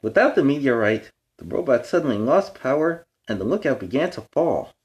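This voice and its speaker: A man's voice: mid-range voice, sing-song, brisk, a little mellow. A man hosting a children's story time, reading aloud with playful, explanatory asides in a superhero persona. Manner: explains words and lessons to young listeners.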